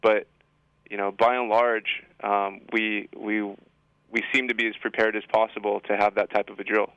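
A man with a smooth, fairly low voice explains things plainly as an interview guest. His delivery stays flat and matter-of-fact, with some rephrasing, on dry, slightly dull radio audio. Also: moderate pace, dry recording. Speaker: high school assistant principal, interview guest. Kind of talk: informal explanatory interview answers. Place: radio studio.

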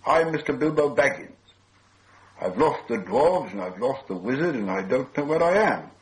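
A man's voice: medium pitch, sing-song, normal speed, lightly acted, low-quality recording. A man voicing a lost, anxious but politely defiant little hobbit in an emphatic storybook reading. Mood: flustered.

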